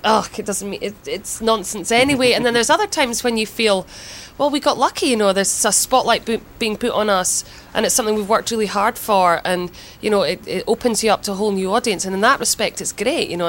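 A woman's voice: mid-range voice, a little fast, few pauses. A woman in an interview as a band singer, speaking candidly, laughing often and swearing freely, with a Scottish accent. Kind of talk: casual interview banter.

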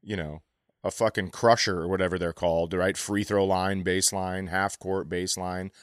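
A man with a fairly low voice talks as a comedy podcast co-host. He riffs crudely and swears freely in loose, casual chat, recorded close and dry. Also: flat delivery, moderate pace, no echo. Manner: profane, riffing.

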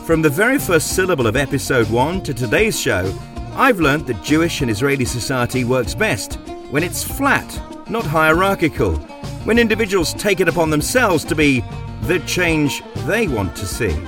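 A man from England hosts a podcast, his voice climbing and falling a lot as he narrates. He speaks in a measured, emphatic way, and music plays clearly behind him. His pitch sits a little above most men's. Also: big pitch swings. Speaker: podcast host. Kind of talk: scripted narration and interview.

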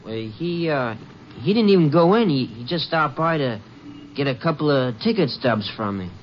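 An actor as a stammering nervous young usher. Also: male voice, mid-range voice, expressive, moderate pace, natural voice. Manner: hesitant, stammering. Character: nervous young male theater usher, evasive. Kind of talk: 1950s radio drama acting. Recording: muffled old radio broadcast with faint hiss.

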